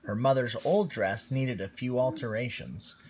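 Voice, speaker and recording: A man reading a picture-book story aloud to a young child on a phone livestream, gently stopping to chat with the child. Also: medium pitch, normal speed, slight background noise. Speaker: storytime reader.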